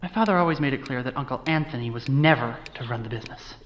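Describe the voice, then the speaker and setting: A man's voice: mid-range voice, fast, a bit bright, slight background noise, close to an everyday voice. An actor playing a wry, guilt-ridden young American man recounting his family history in an audio drama. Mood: wry, explaining. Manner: wry, sardonic, confiding. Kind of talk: audio drama monologue, conversational storytelling.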